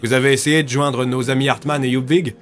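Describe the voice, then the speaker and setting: A man's voice: mid-range voice, fairly even intonation, a little fast, slight background noise, natural voice. A man playing an earnest, weary male police inspector in an audio drama. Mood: serious, concerned.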